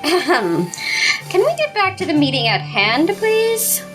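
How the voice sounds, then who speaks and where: A woman playing a brisk, pointed female committee member in an audio drama. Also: sits high, sing-song, over music, low-quality recording. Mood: snippy.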